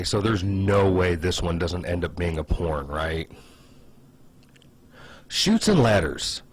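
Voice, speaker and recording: Husky low-voiced man chatting casually. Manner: conversational, hesitant asides, uses "like" often. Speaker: online video host. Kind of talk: casual comedic commentary, pitching ideas.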